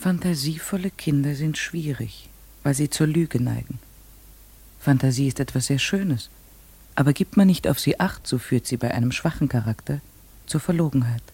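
An actress playing an earnest, strict village schoolteacher in a radio play, speaking in a measured, precise way. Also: female voice, extremely low pitch, dark tone, breathy edge, heavily acted. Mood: reflective, measured. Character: earnest, strict village schoolteacher, a woman narrating her memories. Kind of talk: classic German radio play acting, literary narration.

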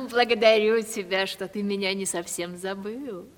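An actress playing an affected, genteel, ageing rich landowner aunt in a Russian radio comedy play. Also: female voice, medium pitch, measured pace, close to an everyday voice. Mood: gracious. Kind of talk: 1970s Russian radio stage drama. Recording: long hall reverb.

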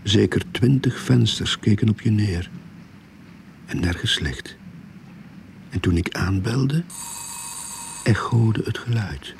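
Rookie detective, wry and tired, creaky low voice, radio drama, noisy backdrop. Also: male voice, slow, long pauses. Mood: wry, brooding. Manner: wry, world-weary. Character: weary young male novice private detective narrating his case. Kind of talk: radio drama narration and dialogue. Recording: radio play with clear background noise.

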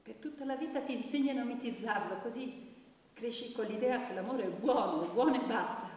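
An actress playing a wounded young woman giving halting, intense testimony about abuse in a theatrical radio drama. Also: on the high side, lightly acted. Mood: bitter. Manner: halting, intense, dropping to hushed tones. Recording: distant, echoey hall with faint noise.

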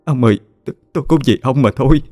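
A man voicing a frightened old wife who begs her husband in a high, stammering, strained voice. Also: racing, mellow, dry recording, soft background music, lightly acted. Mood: pleading.

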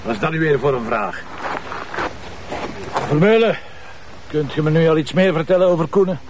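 An actor playing a wry, impatient police commissioner with a Flemish accent and a sardonic, brusque manner. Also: male voice, a little high, animated, normal speed, noisy background, lightly acted. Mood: dry, impatient. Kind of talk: naturalistic television crime drama acting.

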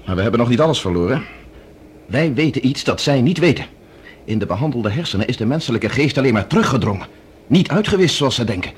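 Man playing determined fugitive scientist, medium-pitched, practical and drily defiant, old sci-fi radio drama with light noise. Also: big pitch swings, fast, close to an everyday voice. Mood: grim resolve. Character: resolute male professor fleeing by spaceship. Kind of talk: Dutch radio drama acting.

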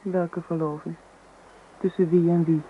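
A woman's voice: lowish voice, level tone, unhurried, natural voice. A woman playing a bashful, earnest young girlfriend in a Dutch radio drama, hesitant and slightly prim. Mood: hesitant, questioning. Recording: muffled radio recording, buzzy noise.

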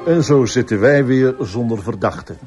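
An actor playing a brisk, dryly courteous police inspector who speaks formally and in a measured way in a radio play. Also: male voice, medium pitch, over music, lightly acted. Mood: probing. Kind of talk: radio drama acting.

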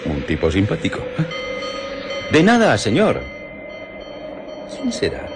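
An actor playing a chatty, inquisitive and cheeky railway porter in a radio drama, muttering and exclaiming. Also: male voice, mid-range voice, huge pitch swings, slow pace, music in the background, full character voice. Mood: amused, gossipy.

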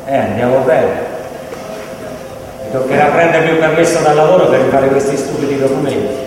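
A man's voice: mid-range voice, fairly quick, natural voice. An actor playing a harried, fed-up working man who grumbles in a radio theatre piece. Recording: noisy, echoey hall, far from mic.

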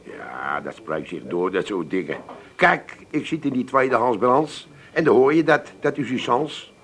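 Slick used-car salesman, wildly animated. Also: mid-range voice. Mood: smooth selling. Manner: fast-talking sales patter, brazenly glib. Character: slick, pushy male used-car salesman, shamelessly upbeat. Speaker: actor in radio play. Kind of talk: 1980s comic radio play acting.

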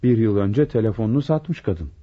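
A man's voice: fairly low pitch, animated, fairly quick, warm tone. A man acting a deferential, earnest police deputy in a radio drama, speaking respectfully and attentively. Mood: dismayed. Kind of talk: Turkish radio theatre acting. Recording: dull, lo-fi radio recording.

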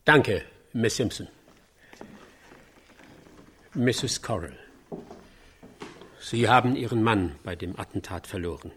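An actor playing a polite, halting field reporter in a radio drama, with a husky voice. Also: male voice, on the low side, expressive, fairly bright tone, slight background noise. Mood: sober, probing. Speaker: on-site reporter.

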